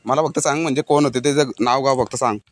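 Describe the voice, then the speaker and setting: A man's voice: medium pitch, fairly even intonation, very fast, no room sound. A young man with a Maharashtrian accent making prank calls in a casual, conversational style. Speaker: prank caller in a vlog. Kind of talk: casual phone chat.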